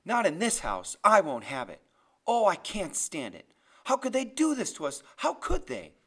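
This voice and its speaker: Older woman, fiercely stubborn and tearful, big emotional high voice for an audiobook, performed by a man. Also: big pitch swings, normal speed, vocal fry. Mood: anguished fury. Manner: loud, emotional protesting. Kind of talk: audiobook character voice.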